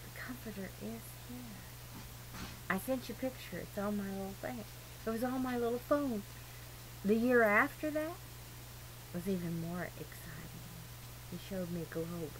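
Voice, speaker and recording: Female, mid-pitched, emphatic amateur preacher in a loose personal monologue; noisy, humming amateur sound. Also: normal speed, pauses often. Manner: earnest, emphatic, digressive. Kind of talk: rambling informal religious monologue. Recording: noisy amateur recording with clear hum.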